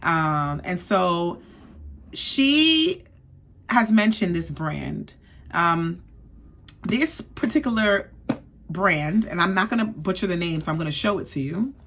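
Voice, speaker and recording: A woman's voice: lowish voice, lively intonation, takes it slowly, long pauses, fairly bright tone, slight background noise. A young woman from the East Coast giving a chatty, sassy perfume review, often checking in with "okay?". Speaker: beauty vlogger.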